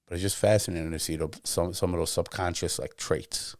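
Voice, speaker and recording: A man's voice: deep voice, fairly even intonation, brisk, no room sound, studio quality. A man hosting a podcast, talking thoughtfully in casual conversation and often searching for words. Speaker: podcast host.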